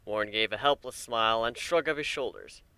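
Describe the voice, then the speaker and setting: A man narrating a dramatic reading of fan fiction in an expressive, measured storytelling manner. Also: fairly low pitch, clean tone, no room sound.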